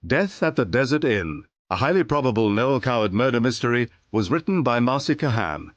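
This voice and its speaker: A man's voice: medium pitch, big pitch swings, a little fast, no echo, lo-fi sound. A man reading cast credits as a scripted radio announcement.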